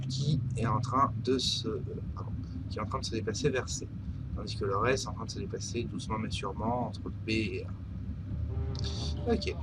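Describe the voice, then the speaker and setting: A man's voice: mid-range voice, heavy background noise. Man with a cold commentating a game. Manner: chatty, hesitant with many fillers, bursts of excitement at hits. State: has a cold. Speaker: gaming commentator. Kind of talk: live gameplay commentary.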